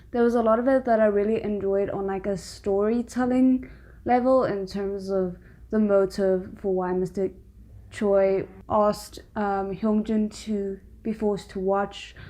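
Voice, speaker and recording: A woman's voice: medium pitch, fairly even intonation, unhurried, darkish tone. A woman giving casual, reflective reaction commentary with an analytical way of explaining things. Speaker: reaction video host.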